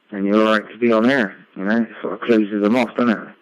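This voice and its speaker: A man's voice: flat delivery, normal speed, dry recording. A man chatting casually as an amateur radio operator, rambling along with frequent tag questions over a radio link. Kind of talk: casual ham radio chat. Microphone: radio link played through a computer. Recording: muffled low-fi digital radio link.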